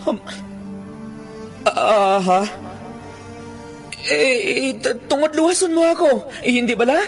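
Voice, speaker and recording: Scared young-sounding bird catcher, very high voice, stammering, pleading, Filipino accent, radio drama with clear music behind. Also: male voice, animated, slow, heavily acted. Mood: confused, alarmed. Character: frightened, bewildered human man, a bird catcher.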